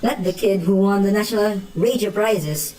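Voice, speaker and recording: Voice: mid-range voice, normal speed, darkish tone, lightly acted, rough recording. Brash, easily amazed young tinkerer acted. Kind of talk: radio play acting.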